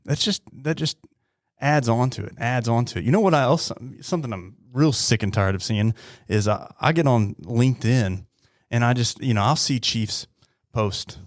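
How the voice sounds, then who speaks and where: A man in his thirties talking bluntly and earnestly on a podcast, often halting and repeating his words. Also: fairly low pitch, no echo, studio quality. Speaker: podcast host, police officer. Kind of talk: heartfelt interview talk.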